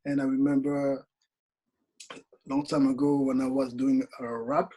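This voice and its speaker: Tired soft-spoken man. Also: mid-range voice, level tone, rough recording. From Congo, raised in Paris. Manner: halting non-native English, soft-spoken. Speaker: guest. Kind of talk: casual interview conversation.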